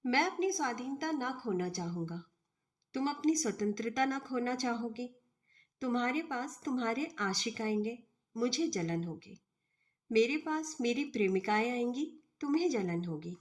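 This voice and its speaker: A woman reading the storybook dialogue of a suave, cynical male professor who argues bluntly and deliberately. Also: a little high, animated.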